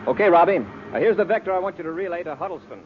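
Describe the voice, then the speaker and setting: An actor playing a decisive, authoritative space patrol commander in a 1950s radio serial. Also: male voice, sits high, lively intonation. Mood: commanding. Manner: brisk, authoritative. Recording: noisy muffled old radio show recording.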